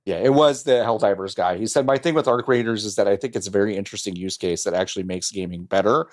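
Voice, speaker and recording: A man's voice: lowish voice, fast talker. A young adult man co-hosting a casual podcast, riffing sarcastically in a mock-corporate voice and swearing. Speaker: podcast co-host.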